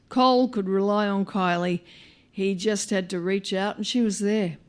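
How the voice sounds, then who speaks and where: A woman reading a short story live to an audience, with wry comic narration, dramatic emphasis and deliberate pauses. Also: mid-range voice, darkish tone. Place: live on stage at a performance venue.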